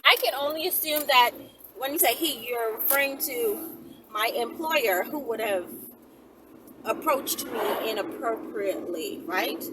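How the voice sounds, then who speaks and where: A woman's voice: a little high, animated, measured pace. A woman talking casually to her viewers as a video host, rambling conversationally and often checking in with tag questions. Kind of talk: casual solo talk to viewers. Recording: distant mic, loud vehicle-like background noise.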